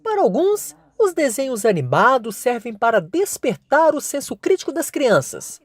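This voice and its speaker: Lively woman reporter narrating. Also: high-pitched, normal speed, dry recording. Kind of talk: scripted news narration.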